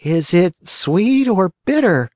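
A man's voice: high-pitched, big pitch swings, takes it slowly, darkish tone, no room sound, studio quality, voice pushed up, exaggerated voice. A man voicing a naughty little puppet boy with a whining, wheedling way of talking. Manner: whiny, wheedling.

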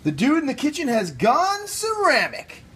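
Animated young American man, playful cook. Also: extremely high pitch, moderate pace. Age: young adult. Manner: playful, slangy. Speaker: cooking show host. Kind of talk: casual recipe instruction. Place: home kitchen and grocery store, indoors.

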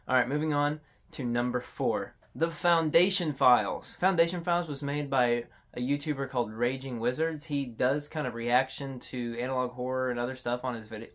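A man's voice: medium pitch, a bit bright. A man hosting a countdown in casual commentary, with an informal, self-deprecating way of talking. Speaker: host of a horror video countdown.

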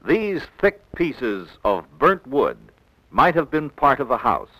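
A male American narrator delivers scripted educational narration in the manner of a 1960s classroom film. He speaks slowly and instructively, enunciating each phrase, with a widely varied melody. The sound is dry, from an old film soundtrack. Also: medium pitch, sing-song, pushed and bright, no room sound, rough recording. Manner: measured, didactic, clearly enunciated.

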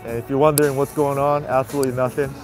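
Man, stuffy nose, casual live-stream chatter, mid-pitched, outdoor street, traffic and faint music behind. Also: little pitch movement, very fast, darkish tone. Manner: chatty, repeats greetings, talks to viewers. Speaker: vlogger. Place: city sidewalk outdoors at night. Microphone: handheld phone camera.

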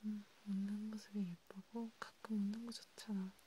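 A woman doing a chatty, intimate ASMR role play in a soft whisper, close to the mic. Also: mid-range voice, flat delivery, normal speed. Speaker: ASMR creator. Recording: clear background noise with clicks.

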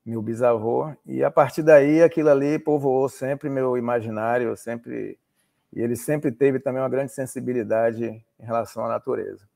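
Homem baiano de voz grave e rouca. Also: male voice, medium pitch, steady tone, moderate pace, creaky, mellow. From Salvador, Bahia, Brazil. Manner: hesitant, repeats words while recalling. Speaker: interviewee, oceanographer. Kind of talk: relaxed storytelling interview. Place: home room with shelves. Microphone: video call.

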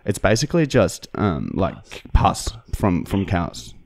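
A man's voice: sits low, huge pitch swings, slight background noise, exaggerated voice. An Australian man putting on a hesitant, self-righteous vegan customer who rambles and gets sidetracked during a prank phone call. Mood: rambling, apologetic. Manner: halting, rambling, peppered with "like". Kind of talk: prank phone call put on for a comedy podcast. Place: indoor podcast studio. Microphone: close studio microphone.

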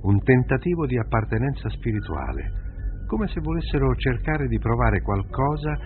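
A man acting a weary, guarded bounty hunter in a radio drama, terse and low-key. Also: sing-song, moderate pace, close to an everyday voice. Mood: grim, businesslike. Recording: tinny narrow-band radio broadcast, music behind.

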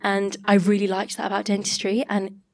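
A woman's voice: mid-range voice, monotone, fairly quick, darkish tone. Nasal-sounding woman guest with a cold. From Great Britain. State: has a cold. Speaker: podcast guest, dentist. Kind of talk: casual interview chat. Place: podcast studio with purple lighting, indoors. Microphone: studio mic on arm.

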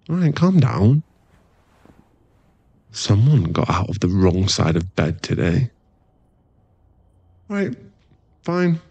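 A laddish werewolf boyfriend played by a man in an ASMR roleplay, teasing and affectionate. His voice sits on the low side and takes its time, recorded close and dry. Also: vocal fry, darkish tone, slightly rough, no room sound, exaggerated voice. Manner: casual, laddish, teasing, drops into murmured asides.

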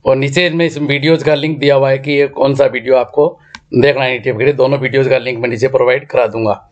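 A middle-aged man, slightly hoarse from a throat infection, teaching a finance lesson at a blackboard and repeating the key numbers step by step. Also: mid-range voice, fairly even intonation, quick pace, reverberant, highs cut off. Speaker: stock market educator. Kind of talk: blackboard lesson and screen walkthrough. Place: indoor room with a blackboard.

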